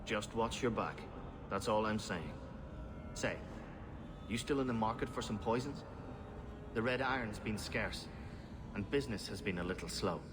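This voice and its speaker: An actor playing a wary but friendly mercenary poison maker, talking in a casual, clipped, streetwise way in a video game. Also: male voice, lowish voice, normal speed, pauses often, background noise, natural voice. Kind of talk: video game drama acting.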